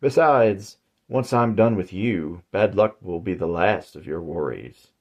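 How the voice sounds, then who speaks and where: A man's voice: low voice, expressive, a little slow, darkish tone, highs cut off, exaggerated voice. A man voicing a cruel old male cannibal killer who talks in a sly, gloating, menacing way.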